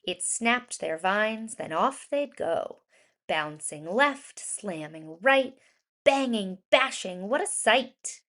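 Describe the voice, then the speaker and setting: A woman reading a rhyming children's story aloud in an expressive, deliberate storytelling manner with emphasized words. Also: medium pitch. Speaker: children's storybook reader, educator.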